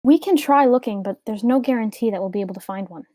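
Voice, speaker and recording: A woman's voice: medium pitch, fast talker, dark tone, no echo, close to an everyday voice. A woman reading the part of a guarded, independent young female mage in a matter-of-fact way at an amateur script table read. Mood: decisive.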